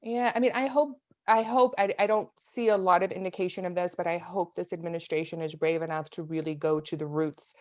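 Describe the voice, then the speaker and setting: A woman from South Carolina giving her views as a guest in a political discussion, earnest and measured, over a video call. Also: fairly low pitch, fairly even intonation, darkish tone, no room sound, dull audio. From United States (South Carolina).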